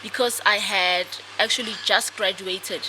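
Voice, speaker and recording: A young woman from South Africa, a guest author answering interview questions in a soft, hesitant way with many fillers. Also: medium pitch, steady tone, unhurried. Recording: noisy podcast recording.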